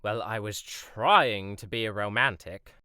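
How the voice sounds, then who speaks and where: A man's voice: lowish voice, unhurried, pushed and bright, clear, resonant tone, dry recording, studio quality. A young man playing an earnest, playful prince who speaks formally in a fairytale audio drama. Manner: formal, old-fashioned wording.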